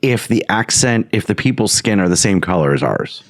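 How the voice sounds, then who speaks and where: A man teases his co-hosts in casual podcast banter, his pitch rising and falling a lot. The recording is dry and close. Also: lively intonation, brisk, no room sound. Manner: teasing, reads articles aloud. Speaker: podcast co-host. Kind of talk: casual chat.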